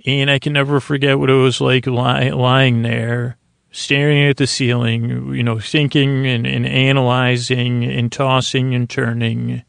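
A middle-aged man with a creaky voice hosts a sleep podcast, giving a gentle, soothing bedtime monologue that meanders, halts and interrupts itself. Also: mid-range voice, flat delivery, a little slow, no room sound, clean studio sound.

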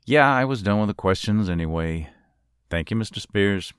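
A man's voice: on the low side, lively intonation, moderate pace, smooth, no room sound, studio quality, lightly acted. An actor playing a matter-of-fact male police officer in an audio drama. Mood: businesslike.

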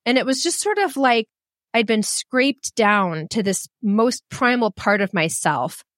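A middle-aged woman telling a personal story in a frank, animated and self-deprecating way. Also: fairly high pitch, a little slow, no room sound, studio quality. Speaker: storyteller guest.

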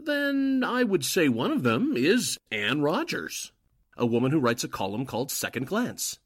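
An actor playing an earnest, easily flustered underling of Nazi agents, speaking deferentially in 1940s radio drama style. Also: male voice, a little high, big pitch swings, unhurried. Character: eager, deferential male informant for Nazi agents.

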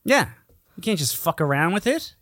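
A man's voice: sits high, big pitch swings, moderate pace, fairly bright tone, no room sound, studio quality. A man hosting a podcast in casual comedic banter, with a sarcastic, teasing, sweary way of talking. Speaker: podcast host.

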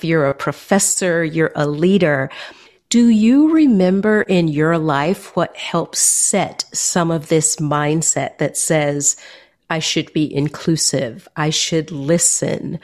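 A woman interviewer who speaks with enthusiasm, explains her ideas through metaphors and asks probing questions. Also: low voice. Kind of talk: conversational interview.

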